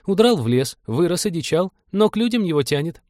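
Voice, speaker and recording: A man voicing a brisk, confident young woman, a ship's mechanic in an audiobook story. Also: fairly low pitch, sing-song, warm tone, no echo, studio quality, voice pushed up. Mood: thoughtful, suggesting.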